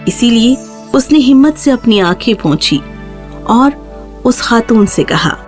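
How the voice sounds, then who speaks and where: A woman's voice: on the high side, expressive, faint music behind. A woman narrating a scripted fairy tale for children as the storyteller.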